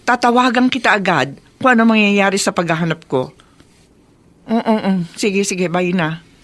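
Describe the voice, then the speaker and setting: A woman's voice: medium pitch, moderate pace, a bit bright, no echo, lightly acted. An actress playing a fretful, worried stepmother in a radio drama, talking in a flustered, plaintive, hesitant way. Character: fretful, worried stepmother on the phone to her husband.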